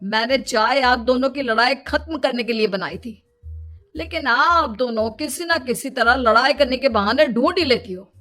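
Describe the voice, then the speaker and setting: A woman's voice: high-pitched, normal speed, a bit bright, faint music behind. A woman voicing a weary, exasperated young husband who pleads and complains in a children's cartoon. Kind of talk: Hindi children's cartoon dialogue.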